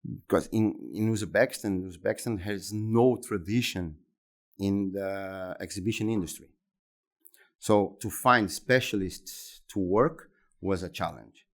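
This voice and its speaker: A man in his fifties from Brazil, speaking English with a Brazilian Portuguese accent as an interview guest, talking haltingly and often repeating words and restarting phrases. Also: deep voice. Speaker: interview guest, exhibition center executive. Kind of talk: conversational interview answers.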